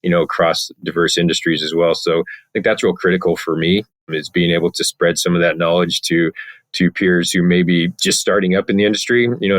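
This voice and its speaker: A man's voice: deep voice, no room sound. A man speaking as a sustainability partner in a conversational panel discussion, rambling with frequent fillers.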